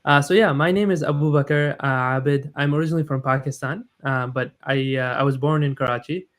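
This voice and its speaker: A man in his thirties who grew up in the United States, a guest telling his story in a relaxed live stream interview with frequent fillers. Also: mid-range voice, brisk. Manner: frequent filler sounds, thoughtful and modest. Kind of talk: conversational interview.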